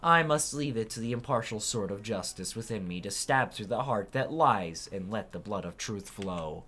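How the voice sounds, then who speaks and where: A man's voice: fairly low pitch, lively intonation, normal speed, sharp tone. A man voicing a solemn, grandiose video game judge in a pompous, declamatory manner. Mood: grand, solemn. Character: solemn, grandiose judge pronouncing truth and justice.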